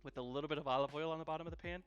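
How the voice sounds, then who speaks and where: A man's voice: on the high side, steady tone, rapid-fire, soft background music. A man hosting a casual live cooking stream from his home kitchen, chatting in an easygoing way and repeating phrases for emphasis. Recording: dull, lo-fi livestream audio.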